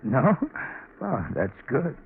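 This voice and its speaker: A laconic young drifter with quiet menace, in the manner of 1940s radio drama. He sounds guarded, through a narrow, tinny recording with some background noise. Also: medium pitch, sing-song, slow. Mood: guarded, probing. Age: young adult.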